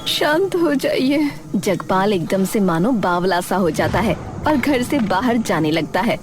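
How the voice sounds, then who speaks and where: Female storyteller for a moral tale, scripted narration with clear music behind. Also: medium pitch, measured pace.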